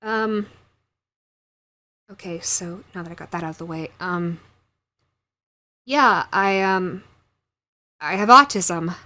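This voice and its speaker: A woman's voice: fairly low pitch, slow, long pauses, sharp tone. A woman who hosts an audio roleplay channel, talking casually and directly to her viewers.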